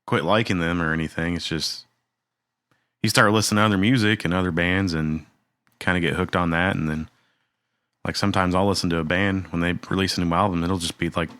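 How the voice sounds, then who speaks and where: A man's voice: deep voice, steady tone, a little fast, a bit bright, clear, resonant tone, dry recording, studio quality. A man co-hosting a podcast, chatting casually and jokingly, with rambling stories. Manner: laid-back, rambling, joking. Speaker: podcast co-host. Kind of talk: casual chat.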